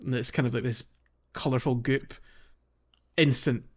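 A man's voice: medium pitch, fairly even intonation, normal speed. Lo-fi male podcast co-host, casual. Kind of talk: casual gaming podcast chat.

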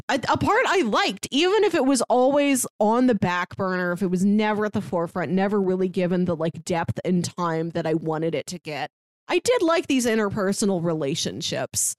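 A woman's voice: mid-range voice, no echo, clean studio sound. A woman co-hosting a podcast, chatting casually through a book review in a wry, informal way. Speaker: podcast co-host reviewing manga.

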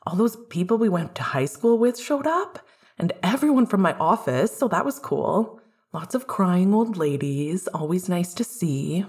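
A woman's voice: on the low side, big pitch swings, measured pace, a little mellow. A woman reading an upbeat, chatty young man, a caring best friend with an eager, insistent manner. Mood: cheerful, chatty.

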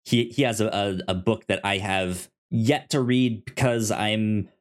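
A man co-hosting a podcast in casual chat about comics, speaking haltingly with stammered, repeated syllables and words. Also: lowish voice, fairly even intonation. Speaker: podcast co-host.

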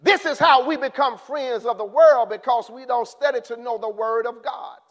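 A man preaching a sermon in an impassioned way, rising to shouts and then dropping low. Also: moderate pace.

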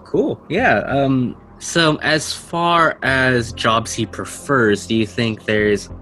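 A man's voice: mid-range voice, takes it slowly, bright, forceful tone, poor audio. A man hosting and narrating a tabletop role-playing game as game master. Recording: music under the voice. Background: music.

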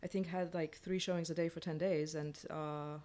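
A woman's voice: deep voice, fairly even intonation, moderate pace, a little mellow. A woman speaking as a playwright in a casual panel discussion, frank in her opinions and often restarting her phrases.

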